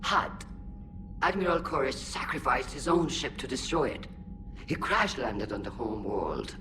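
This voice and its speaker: A stern, authoritative alien admiral. Her formal speech moves widely in pitch, over a clear rumbling background noise. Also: sing-song, a little slow, full character voice. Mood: grave. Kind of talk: video game acting.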